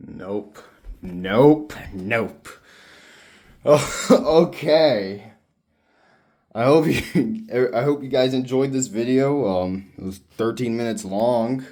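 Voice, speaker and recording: A man hosting a casual, unscripted hobby demonstration, mumbling haltingly to himself and swearing now and then into a handheld camera. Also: medium pitch, a little mellow. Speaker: hobbyist video host.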